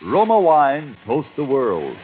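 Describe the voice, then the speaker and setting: A man's voice: medium pitch, huge pitch swings, slow. Swooping vintage male radio announcer. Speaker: commercial announcer. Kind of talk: 1940s radio ad read. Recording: tinny 1940s radio recording, music behind.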